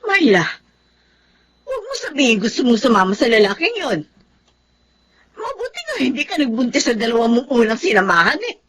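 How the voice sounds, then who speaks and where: A woman's voice: a little high, huge pitch swings, brisk, long pauses, a bit bright, a little hiss or hum. An actress playing a worried, scolding but caring middle-aged mother in a Filipino radio drama. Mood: anxious pleading. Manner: pleading, admonishing.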